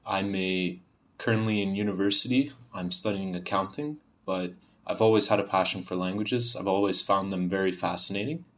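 A man's voice: low voice, moderate pace. A young man from Ontario who grew up speaking Serbian, with a Canadian accent touched by Serbian, reading a passage carefully and then talking about himself. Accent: Canadian, faint Serbian. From Ontario, Canada, born in Bosnia. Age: young adult. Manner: even, careful reading; hesitant, filler-laden when talking freely. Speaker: university student, dialect archive speaker. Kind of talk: reading a set passage, then casual self-introduction.

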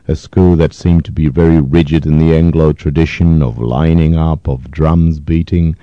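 A man in his fifties, originally from Poland and a native Yiddish speaker, recalls his past in a thoughtful, hesitant way with many pauses. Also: very deep voice, dark tone, dry recording, dull audio. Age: 50s. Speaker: interviewee. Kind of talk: reflective oral history interview.

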